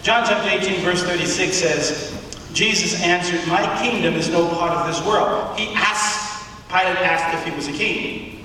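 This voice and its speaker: A man delivering a sermon, speaking emphatically and deliberately with rhetorical questions and pauses in a large auditorium. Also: sits high, sharp tone. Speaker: preacher giving a public address. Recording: echoey hall from far off, faint noise.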